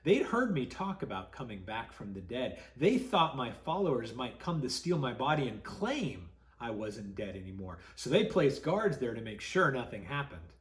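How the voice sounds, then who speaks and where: A man reading a story to children as a pastor would, dramatic and expressive, with deliberate pauses. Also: mid-range voice, reverberant.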